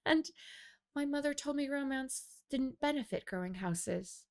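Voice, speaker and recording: A woman's voice: high voice, animated, measured pace, creaky, pitched above the performer's own voice, heavily acted. A woman voicing a wry, wary young female fighter in an audiobook, with a dry, light manner. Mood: wary deflecting.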